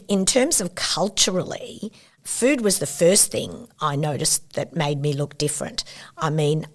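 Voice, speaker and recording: A woman, thoughtfully recounting her family's story as an interview guest. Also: low-pitched. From Australia. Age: 70s. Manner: thoughtful, unhurried recollection with warm asides. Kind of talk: reflective personal storytelling.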